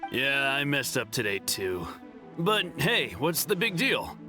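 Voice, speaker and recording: A man's voice: medium pitch, very animated, a little slow, sharp tone. An actor playing a brash, short-tempered young male town guard in a video game, casual and flippant. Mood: carefree. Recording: music behind the voice.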